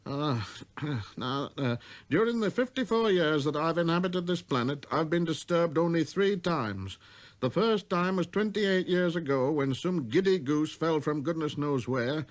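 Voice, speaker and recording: A man's voice: on the high side, lively intonation, measured pace, pitched above the performer's own voice. A man voicing a busy, self-important middle-aged businessman in a storybook, irritable and muttering, hesitating over his figures. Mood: irritated, fussy.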